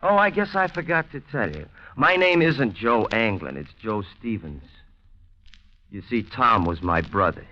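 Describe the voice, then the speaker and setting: Low-voiced, sardonic radio avenger. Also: male voice, big pitch swings. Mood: quietly triumphant. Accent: American. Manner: mocking mock-politeness, deliberate. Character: calm sardonic avenger holding killers at gunpoint. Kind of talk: 1940s radio thriller acting. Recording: muffled, noisy 1940s radio recording.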